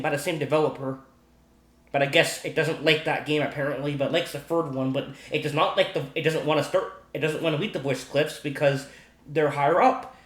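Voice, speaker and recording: A man casually explaining game modding off the cuff, in a halting, repetitive way that circles back over his points. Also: fairly high pitch, a bit bright. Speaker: gaming video host. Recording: echoey room sound.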